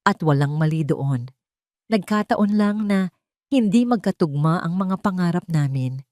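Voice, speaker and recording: A woman with a Filipino accent voicing a heartbroken, gentle young man who confides his past in an audio romance story. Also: lowish voice, expressive, measured pace, dry recording, studio quality. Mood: accepting, tender. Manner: confessional, code-switching into English.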